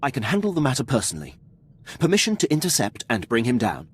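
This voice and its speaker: An actor playing a proud, efficient imperial officer in a video game, reporting formally and deferentially in a husky voice. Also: male voice, medium pitch, wildly swooping pitch, fast talker, fairly bright tone, exaggerated voice. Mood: proud, eager. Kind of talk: video game dialogue acting.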